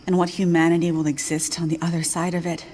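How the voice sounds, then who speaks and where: Actress playing older female scientist, grave, solemn, measured, lowish pitch, game cutscene, ambient background noise. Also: little pitch movement, a little mellow, close to an everyday voice. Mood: grave, foreboding. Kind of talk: video game cutscene acting.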